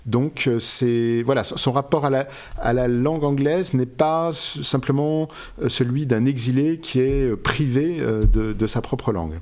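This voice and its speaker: A man's voice: medium pitch, unhurried, darkish tone. A man speaking as an interviewed author, giving erudite, unscripted explanations with frequent hesitations and self-corrections. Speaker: interviewed author and literary expert.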